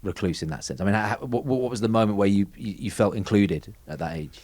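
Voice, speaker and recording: A man's voice: on the low side, lively intonation, a little fast, gravelly, a little mellow, no echo. A man hosting a podcast, asking questions eagerly and tripping over repeated words. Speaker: podcast host. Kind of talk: interviewing a guest.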